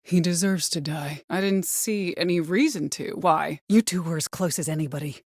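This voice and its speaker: An actress playing a woman caller in a video game, by turns desperate, coaxing and menacing, with a hushed, insistent way of pleading. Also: lowish voice, moderate pace, breathy edge, dry recording, studio quality. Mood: cold menace. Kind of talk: video game voice acting, dramatic dialogue.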